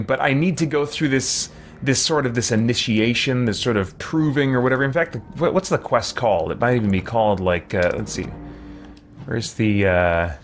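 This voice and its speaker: A man's voice: mid-range voice, fairly bright tone. A man in his forties chatting casually as he hosts a game livestream, rambling and self-deprecating. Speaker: streamer, host of a let's play. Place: small room at home.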